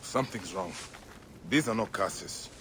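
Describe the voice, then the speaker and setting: An actor playing a grim, principled ancient Egyptian warrior in a video game, speaking with earnest intensity. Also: male voice, fairly low pitch, measured pace, noisy background, lightly acted. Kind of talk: dramatic video game acting.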